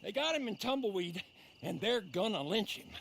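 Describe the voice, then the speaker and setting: A sly old American frontier drifter, high and creaky. He drawls in a folksy, rascally way in a Western game scene. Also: lively intonation, moderate pace, fairly bright tone, slight background noise, heavily acted. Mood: grim, taunting. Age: older. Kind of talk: video game cutscene acting.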